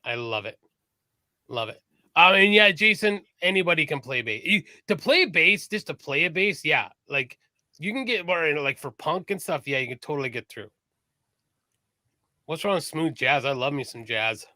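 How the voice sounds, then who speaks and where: A man's voice: on the high side, lively intonation, normal speed, sharp tone, no echo, clean studio sound. A man co-hosting a casual live-streamed podcast, chatty and enthusiastic about music, swearing casually. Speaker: podcast co-host.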